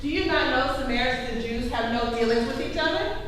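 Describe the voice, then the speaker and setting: An actress plays a questioning village woman in a biblical stage drama. Her high voice carries from a distance through a very echoey hall. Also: brisk, fairly bright tone. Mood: doubtful, challenging. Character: Samaritan woman at a well, questioning, doubtful. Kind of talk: live church stage drama, scripture dialogue. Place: church hall, recorded live. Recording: very echoey hall, distant mic, faint noise.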